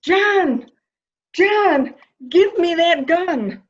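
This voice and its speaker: A woman's voice: very high-pitched, expressive, drawn-out words, heavily acted. A woman playing a fretful, intense, protective member of a household in a melodramatic mystery reading, in slightly dull sound. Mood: alarmed. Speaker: amateur reader, library staff. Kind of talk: dramatic reading of a murder mystery.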